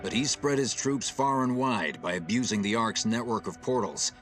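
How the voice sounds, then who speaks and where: A man's voice: mid-range voice, fairly bright tone, close to an everyday voice. Man as a grave military captain over music. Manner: measured, authoritative. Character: grave, commanding male military captain giving orders. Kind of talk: video game briefing acting.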